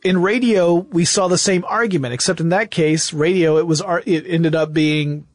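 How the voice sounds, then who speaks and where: A man with an American accent and a slightly high-pitched voice, captured with very little room sound. He sounds like a podcast co-host walking a listener through a subject in conversational style. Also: steady tone, moderate pace, no echo, studio quality. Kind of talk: conversational explainer.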